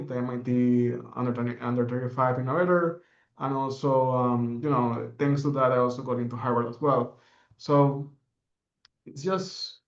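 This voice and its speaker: A man's voice: mid-range voice, creaky, reverberant, muffled recording. A young man from the Dominican Republic, a podcast guest telling his story in an earnest, reflective way with many fillers. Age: young adult. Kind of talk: interview answers.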